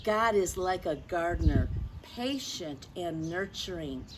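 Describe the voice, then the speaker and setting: A woman leading a children's story time, speaking deliberately and expressively, as if straight to young listeners. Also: lowish voice, lively intonation, noisy background. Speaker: children's storyteller and religious educator. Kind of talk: children's story time, read-aloud and simple faith talk.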